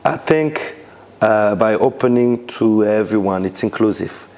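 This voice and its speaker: Man, low voice, gravelly, rambling restarts, casual interview. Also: measured pace, a little mellow. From Senegal, raised in France. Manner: loose, searching phrasing with many restarts. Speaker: dancer, DJ and event founder.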